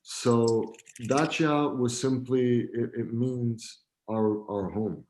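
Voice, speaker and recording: A man from Romania, talking as a guest in a relaxed, friendly, joking way over a video call. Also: medium pitch, takes it slowly, rough recording. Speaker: guest, gallery founder. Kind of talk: casual video-call interview.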